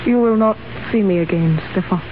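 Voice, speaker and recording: A woman's voice: mid-range voice, fairly quick, phone-quality sound, background noise, natural voice. An actress playing an earnest young Russian revolutionary woman who speaks in a formal, earnest way, heard on a 1930s radio broadcast. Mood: tender.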